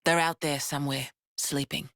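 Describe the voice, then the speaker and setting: An actress playing a frank, plain-spoken young resistance warrior in a dramatic video game cutscene. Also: female voice, deep voice, level tone, moderate pace, a bit bright, no echo, clean studio sound. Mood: explaining, matter-of-fact. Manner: plain-spoken, colloquial, explanatory.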